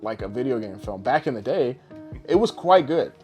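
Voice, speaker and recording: A man talks in a loose, opinionated podcast style at a medium pitch. His speech is informal and sometimes profane, like chat between co-hosts. Music plays under his voice. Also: big pitch swings, normal speed, a little mellow. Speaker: podcast co-host. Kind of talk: casual podcast chat about pop culture. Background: background music.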